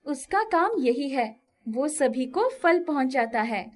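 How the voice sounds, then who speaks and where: Woman playing a high-pitched, earnest village fruit seller. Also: very animated, slow pace, exaggerated voice. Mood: evasive, polite. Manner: earnest, exclamatory. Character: humble, secretive village fruit-seller woman, easily flustered.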